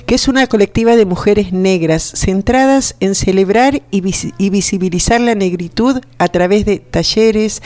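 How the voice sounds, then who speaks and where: A woman's voice: medium pitch, a little mellow, no room sound. Woman radio host with dry, close sound. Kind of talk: conversational radio interview introduction. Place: radio studio. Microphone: studio microphone.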